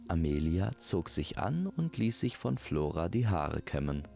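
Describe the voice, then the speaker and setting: A man's voice: low voice, sing-song, a little slow, creaky, warm tone, soft background music. A man narrating a scripted fairy-tale audio drama as its storyteller.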